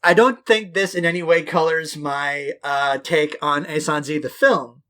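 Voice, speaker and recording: A man's voice: a little high, unhurried, bright, forceful tone. A man in his thirties from West Quebec, co-hosting a chatty podcast. His voice sits a little above the usual male range, and he talks in long, looping, profane anecdotes, often at his own expense. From West Quebec, Canada. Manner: rambling, profane, self-deprecating storytelling. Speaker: podcast co-host. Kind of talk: casual podcast chat.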